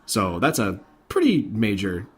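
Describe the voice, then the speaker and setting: A man's voice: fairly low pitch, wildly swooping pitch, unhurried, husky. A man hosting a podcast, recounting news in an informal, conversational way. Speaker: podcast host. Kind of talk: casual podcast chat about game industry news.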